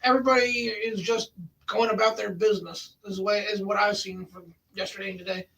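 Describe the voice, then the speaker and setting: A man's voice: sits high, flat delivery, brisk, gravelly, pushed and bright, distant mic, rough recording. A man in a casual chat who talks hesitantly and often restarts his sentences.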